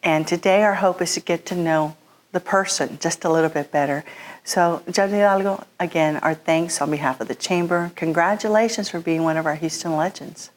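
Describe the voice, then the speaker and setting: Low-pitched woman interview host, formal. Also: normal speed. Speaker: interview host, chamber of commerce president. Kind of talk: formal welcoming interview with prepared introduction.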